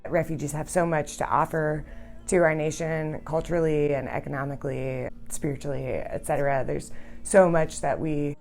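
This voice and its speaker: A woman advocating for refugees in an earnest, deliberate way. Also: low voice, little pitch movement, a little mellow, soft background music.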